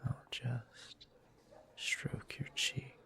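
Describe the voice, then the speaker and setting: Man acting a slow, whispering, smug seductive bully. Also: sharp tone. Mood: teasing, intimate. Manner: slow, teasing, smug, breathy murmuring. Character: teasing, dominant male bully turned seductive lover. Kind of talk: intimate roleplay audio, whispered ASMR.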